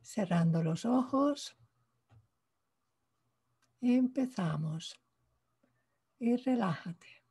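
A woman leading a guided meditation in a soft, breathy voice, calm and hushed, pausing between short phrases. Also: fairly high pitch. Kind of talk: guided meditation, slow spoken instructions.

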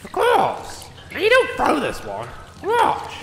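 An actor voicing an excitable, crude gnome for a comedy fantasy cartoon, exclamatory and stammering. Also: very high voice, slow pace, vocal fry, full character voice. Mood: eager. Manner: exclamatory, stammering, swooping delivery. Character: excitable foul-mouthed gnome potion-thrower, gleefully crude. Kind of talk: comedic animated fantasy voice acting. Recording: echoey sewer-like reverb with noise.

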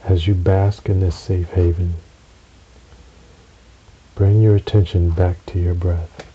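A man narrating a guided relaxation meditation in a soothing, measured way, with long pauses between short phrases. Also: sits low, little pitch movement, dark tone. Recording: dull recording with audible hiss.

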